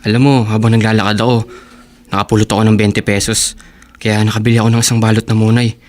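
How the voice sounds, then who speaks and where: A man's voice: fairly low pitch, level tone, quick pace, natural voice. A man playing a poor, earnest teenage boy devoted to his girlfriend in a radio drama. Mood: weary. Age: teens. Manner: earnest and reassuring. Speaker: young lover.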